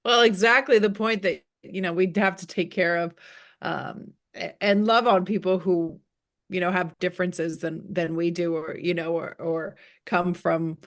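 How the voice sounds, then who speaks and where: A woman's voice: fairly low pitch, fairly even intonation, measured pace. A woman hosting an interview show in a casual, conversational presenting style. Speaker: interviewer, host.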